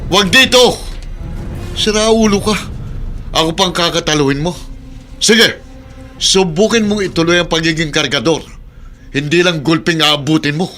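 Menacing market bully. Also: male voice, fairly high pitch, normal speed, pauses often, sharp tone, soft background music. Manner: threatening, taunting. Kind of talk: radio drama acting.

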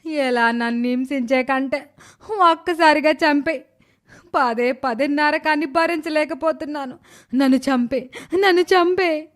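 A woman playing a frail, suffering old mother-in-law in an animated moral story, pleading in a drawn-out wail. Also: sits high. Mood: desperate.

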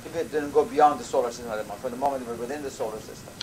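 An older man, a native Persian speaker from Iran, giving a measured university lecture with thoughtful pauses. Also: medium pitch, a little mellow. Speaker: professor. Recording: lecture recording with audible background noise.

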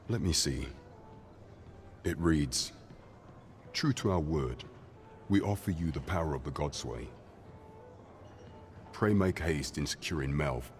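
An actor playing a grave, loyal royal counsellor in a fantasy game, formal and solemn with archaic phrasing. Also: male voice, very deep voice. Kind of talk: scripted fantasy game acting.

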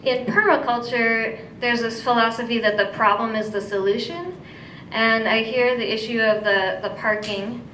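Thirties American woman, higher-pitched, reading remarks at a roomy meeting. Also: steady tone, moderate pace, sharp tone. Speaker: citizen speaking at public comment. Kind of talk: prepared public comment. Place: indoor council meeting room. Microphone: gooseneck podium microphone. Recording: echoey room, distant mic, faint rumble.

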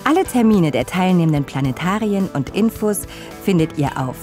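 A woman's voice: lowish voice, expressive, normal speed, warm tone, soft background music. A woman reading scripted promotional narration as the presenter of a feature.

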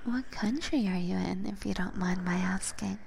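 A woman whose first language is Hungarian, whispering softly to her viewers in an ASMR chat stream on a binaural mic, halting now and then with fillers. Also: mid-range voice, vocal fry, slight background noise. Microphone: binaural microphone.

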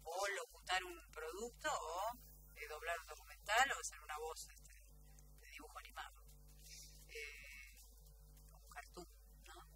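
A woman with a Rioplatense accent talks casually in a radio studio, hesitating and circling back over phrases. Her pitch swings very widely, and music plays clearly behind her. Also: huge pitch swings, measured pace, sharp tone. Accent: Rioplatense, Buenos Aires. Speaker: guest, voice actress and announcer. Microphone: studio microphone.

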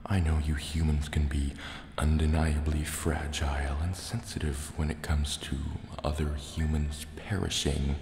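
A man voicing a large, menacing, distrustful sea serpent dragon in a slow, deliberate, soft-spoken ASMR roleplay. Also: a bass voice, little pitch movement. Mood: haughty, threatening. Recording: echoey cave reverb, faint noise. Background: water and cave ambience.

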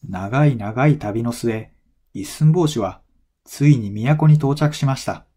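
A man reading a folktale as a scripted narration for language learners, in measured, clearly phrased storytelling. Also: mid-range voice, mellow.

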